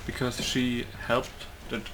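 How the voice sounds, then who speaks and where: A gamer offers casual commentary while playing. The remarks are offhand and conversational, in a mid-pitched voice with clear game noise behind it. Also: monotone, takes it slowly. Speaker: game streamer. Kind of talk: casual play-along commentary.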